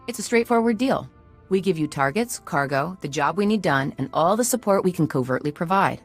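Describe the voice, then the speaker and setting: An actress playing a shrewd, commanding senator with a formal, authoritative manner in a video game. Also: female voice, lowish voice, expressive, fairly quick, soft background music. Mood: shrewd, firm.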